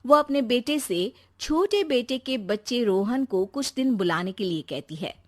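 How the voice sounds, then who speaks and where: A woman's voice: on the high side, lively intonation, a little slow. A woman narrating a scripted moral family story as a storyteller.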